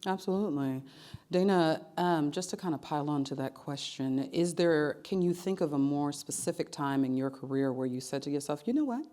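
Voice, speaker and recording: A woman's voice: sits low, lively intonation, a little fast, warm tone. A woman moderating a career panel, introducing guests and posing questions in an upbeat tone.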